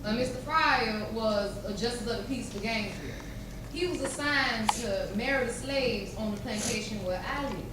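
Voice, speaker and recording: A woman's voice: a little high, normal speed, natural voice. A woman playing a formerly enslaved storyteller with plainspoken, folksy delivery in a readers theater piece. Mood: matter-of-fact. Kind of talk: readers theater monologue on stage. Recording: distant mic, echoey hall, clear noise.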